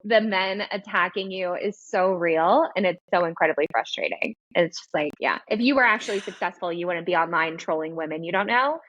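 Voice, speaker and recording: Host type: girly-voiced woman, slight lisp, animated chat, a little lo-fi. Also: on the low side, fairly quick, few pauses, slight background noise. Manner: animated, laughs while talking. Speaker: podcast host and business coach. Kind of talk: casual interview chat.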